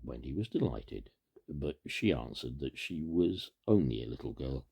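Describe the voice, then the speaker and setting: A man reading a children's story aloud in an animated way, with informal asides and a gravelly, husky voice. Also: very deep voice, normal speed. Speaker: storybook reader.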